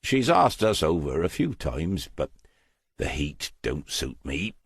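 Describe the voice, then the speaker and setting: Man voicing a deep, husky, guarded old man. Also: very animated, dry recording, studio quality, exaggerated voice. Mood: matter-of-fact. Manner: halting, colloquial, understated. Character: elderly plain-spoken man, wistful and guarded.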